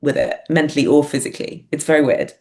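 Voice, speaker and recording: A woman's voice: deep voice, fast, creaky, darkish tone. A woman talking casually and reflectively as an interview guest, hesitant and self-deprecating as she thinks aloud. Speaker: podcast guest, writer and performer.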